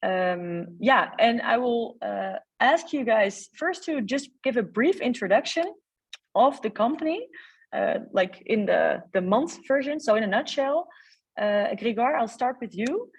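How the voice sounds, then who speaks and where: A woman's voice: fairly high pitch, lively intonation, a little slow, husky, recorded from a distance, rough recording. A woman moderating a webinar panel, asking questions with frequent fillers. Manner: hesitant, uses fillers.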